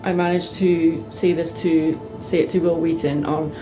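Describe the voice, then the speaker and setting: Woman, slightly low voice, casual vlog storytelling, chatty and expressive, fannish enthusiasm, music playing behind. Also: moderate pace, poor audio. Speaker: vlogger. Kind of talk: casual personal storytelling to viewers.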